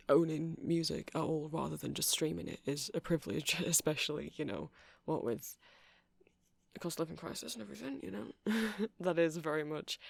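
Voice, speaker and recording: A man's voice: fairly high pitch, gravelly, husky, dry recording. A man playing an awkward, rambling vampire music shop owner who stammers and hesitates in a casual ASMR roleplay. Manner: hesitant, stammering, apologetic, nervously chuckling.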